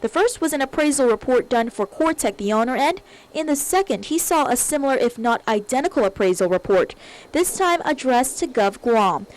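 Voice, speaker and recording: Woman, higher-pitched voice, news reporter, scripted report narration. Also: expressive, a little fast, darkish tone, poor audio.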